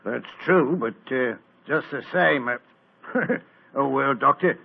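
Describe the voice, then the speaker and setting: An actor playing a plodding, folksy police inspector who rambles with homely sayings, on a tinny old radio broadcast. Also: male voice, mid-range voice, slow, gravelly, breathy edge, exaggerated voice. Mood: earnest.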